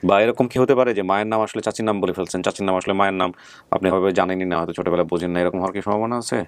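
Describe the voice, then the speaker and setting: A man hosting a conversational interview, briskly prompting people with short questions and directing them. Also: sits low, steady tone, no room sound. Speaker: host. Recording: clear background noise.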